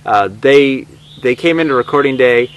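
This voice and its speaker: A man's voice: mid-range voice, normal speed, rough recording. A man speaking as a director in an informal, reflective documentary interview. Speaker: audio drama director. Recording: faint background hum.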